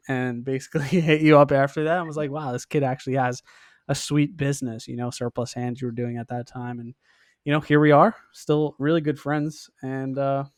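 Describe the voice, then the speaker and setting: A man's voice: mid-range voice, clean tone, no room sound, studio quality. A young man hosting a podcast in a casual, chummy, slangy interview style. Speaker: podcast host.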